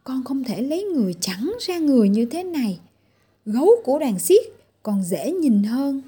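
A woman voicing a disdainful elder sister in a fairy tale, taunting with drawn-out warnings. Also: high-pitched, very animated, warm tone, breathy edge, exaggerated voice.

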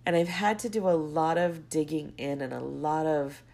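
A woman's voice: deep voice, steady tone, normal speed, gravelly, a little mellow. A young adult woman hosting her own podcast, stuffy and congested from a cold, rambling off on tangents and circling back. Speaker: podcast host. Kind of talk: casual solo podcast monologue.

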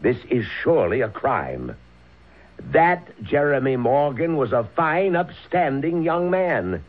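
An actor playing a bigoted, vengeful older frontier settler in a radio drama, blustering and indignant. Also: male voice, medium pitch, big pitch swings, takes it slowly, phone-quality sound. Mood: indignant, contemptuous. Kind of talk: old-time radio drama acting. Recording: band-limited old radio drama recording.

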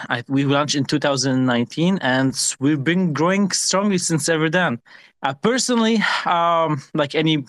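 A male founder talks about himself as a guest on an online audio chat. He sounds relaxed and jokes about his own past. His voice is mid-pitched, and the sound is dry and close. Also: no room sound. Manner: easygoing, laughs while talking. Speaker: guest, founder of an education group.

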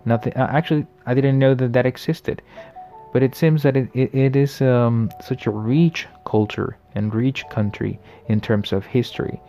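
A man in his late twenties hosting a casual solo podcast, talking in a friendly, reflective way, often pausing and restarting his sentences. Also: medium pitch, fairly even intonation, warm tone, faint music behind. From the Americas. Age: late 20s. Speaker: podcast host.

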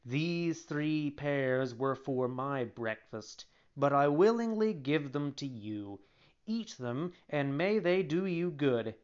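Kindly old storybook father acted by a reader: unhurried, clear-toned, gently moralizing, mild. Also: mid-range voice, lively intonation, dull audio. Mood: tender. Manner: slow, mild, fatherly admonishing.

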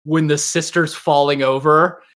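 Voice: mid-range voice, fairly even intonation, measured pace, fairly bright tone. A podcast host chatting casually about anime and visual novels, opinionated, joking and swearing freely.

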